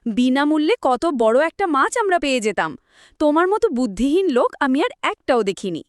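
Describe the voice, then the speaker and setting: A woman plays a demanding cartoon village wife with a high voice and swooping intonation. The sound is very dry. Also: big pitch swings, a little fast, dry recording. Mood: scolding. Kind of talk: cartoon voice acting.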